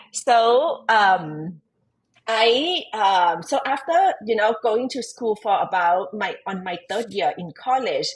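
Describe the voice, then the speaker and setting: A woman's voice: medium pitch, poor audio. A woman from Thailand with a Thai accent, a tax advisor who speaks Thai natively and tells her story in earnest, searching for words in her learned English as a podcast guest. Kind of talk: storytelling in an interview.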